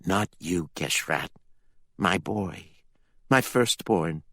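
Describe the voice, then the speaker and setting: A man voicing a grieving sorceress mother who speaks softly and solemnly through tears. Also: low voice, animated, slow, dry recording, studio quality, exaggerated voice. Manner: soft, solemn, tearful. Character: grieving powerful sorceress mother, stern and tearful.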